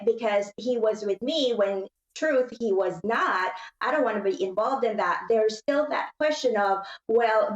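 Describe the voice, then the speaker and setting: A woman giving measured, explanatory commentary on a case as a legal analyst on a panel. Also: a little high, roomy sound, lo-fi sound.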